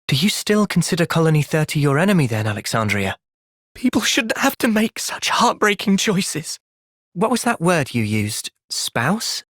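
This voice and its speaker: An actor playing a thoughtful, gentle but resolute young male soldier in a video game, with a British accent and a sincere, measured delivery. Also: a little high, sing-song, dry recording, studio quality. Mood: calm, earnest. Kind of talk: video game cutscene voice acting.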